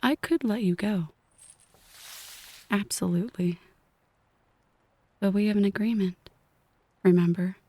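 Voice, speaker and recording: Yandere crime boss, played by a woman: female, husky and breathy, unhurried, coolly teasing, ASMR intimacy. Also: medium pitch, no echo, natural voice. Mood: teasing, menacing. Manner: hushed, half-whispered, slow, mock-affectionate. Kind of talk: ASMR roleplay.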